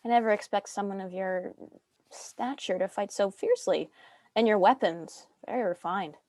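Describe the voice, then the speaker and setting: A woman's voice: mid-range voice, normal speed, darkish tone, no room sound, natural voice. Woman as a proud noblewoman, close dry sound. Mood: composed. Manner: formal, poised. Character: proud, composed noble widow bent on honor. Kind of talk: dramatic script reading.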